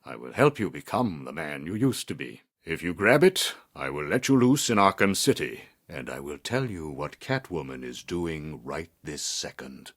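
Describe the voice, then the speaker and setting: An actor playing a cold, manipulative middle-aged psychiatrist villain in a video game, speaking in a measured, condescending, clinical and menacing way. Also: male voice, extremely low pitch, very animated, full character voice. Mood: calm, calculating. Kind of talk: scripted video game voice acting.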